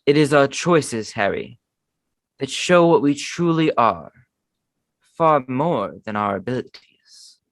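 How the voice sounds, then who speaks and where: Man as a kindly old headmaster wizard, unhurried and measured. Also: mid-range voice, expressive, no room sound. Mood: gentle. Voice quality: raspy.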